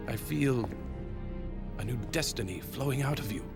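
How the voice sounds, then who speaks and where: Swooping, enigmatic time traveler. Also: male voice, mid-range voice, lively intonation, gravelly, loud music behind the voice. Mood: cryptic, gentle. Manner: theatrical, florid, drawn-out phrasing. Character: enigmatic, whimsical male time traveler, all-knowing. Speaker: video game character actor. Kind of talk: theatrical game cutscene acting.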